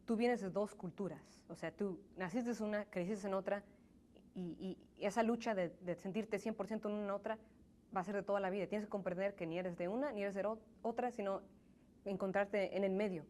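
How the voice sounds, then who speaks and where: A woman's voice: mid-range voice, fairly quick, a little mellow, slight background noise. A young woman answering interview questions in an earnest, thoughtful way, often restarting her sentences; she grew up in the United States in a Mexican family, and English is her first language. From Mexican family, raised in the United States. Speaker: interview guest. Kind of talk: conversational interview.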